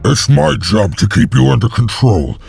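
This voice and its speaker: Raspy, gloating brute of an overseer. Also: male voice, medium pitch, sing-song, darkish tone, soft background music, exaggerated voice. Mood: bullying. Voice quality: raspy, gravelly. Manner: sneering, taunting, slow. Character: brutish, gloating male slave overseer. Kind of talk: video game villain acting.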